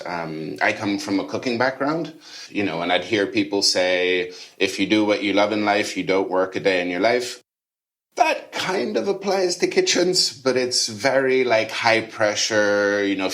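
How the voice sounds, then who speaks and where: A man's voice: low-pitched, a bit bright. A middle-aged man raised in Rome, a chef and food tour guide, chatting enthusiastically in a podcast interview. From Rome, Italy. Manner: chatty, enthusiastic, casual fillers.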